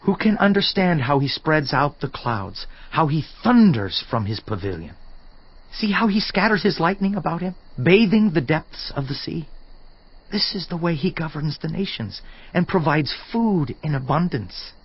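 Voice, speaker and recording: A man's voice: a little high, very animated, moderate pace, breathy edge, lo-fi sound, full character voice. An actor playing an earnest, fervent man who declaims solemnly and emphatically about God's majesty in a dramatized scripture production. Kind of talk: dramatized scripture reading, oratorical.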